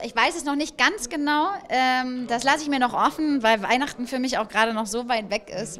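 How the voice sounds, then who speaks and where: A woman's voice: mid-range voice, a bit bright. A woman in her thirties, an actress raised bilingual in German and Italian, chatting in an interview at an event with fillers and restarts. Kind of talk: casual interview chat. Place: indoor event room, standing by a small table. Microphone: handheld mic held by interviewer.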